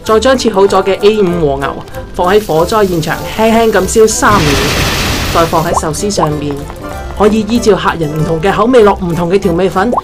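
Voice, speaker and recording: A woman's voice: medium pitch, fairly quick, close to an everyday voice. A woman playing a cheery, overconfident home-cook mother with a Hong Kong accent in a comic audio drama. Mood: proud presenting. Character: cheery, overconfident mother who fancies herself a chef. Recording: music and sizzling behind the voice.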